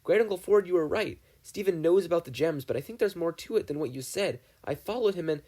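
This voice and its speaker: Guy doing a jumpy nervous kid, voice pushed way up high, bounces around a lot, audiobook reading. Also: lively intonation, fast, mellow. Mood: alarmed. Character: anxious paranoid boy, jumpy and suspicious. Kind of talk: podfic fan fiction reading.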